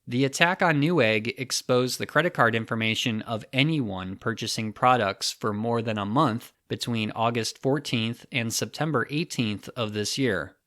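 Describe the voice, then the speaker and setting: A man hosting a podcast, reading scripted news in a measured, clearly read delivery. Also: medium pitch, a bit bright, no echo, clean studio sound. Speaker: podcast host.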